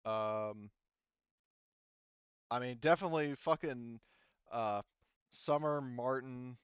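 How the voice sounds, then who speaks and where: A man's voice: mid-range voice, slow pace, clean tone, dry recording, lo-fi sound. A man working as game master, casually explaining tabletop game rules in an informal way.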